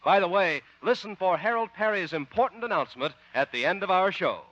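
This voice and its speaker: A man announcing and narrating a 1950s radio comedy in a smooth, polished style. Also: high-pitched, animated, a little fast, a bit bright, dry recording. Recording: muffled 1950 radio broadcast with music.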